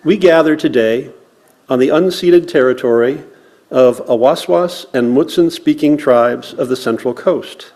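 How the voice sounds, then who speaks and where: A man with a mid-pitched voice addresses a congregation at a worship service. His delivery is slow and solemn, with deliberate pauses between phrases, as in a formal reading. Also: mellow. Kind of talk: land acknowledgement and poetry recitation to a congregation.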